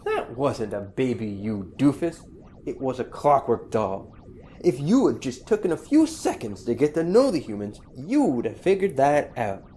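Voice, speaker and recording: A woman's voice: very deep voice, big pitch swings, moderate pace, warm tone, slight background noise. A woman playing a shrewd, no-nonsense Martian tactician in an audio drama. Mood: scornful, firm.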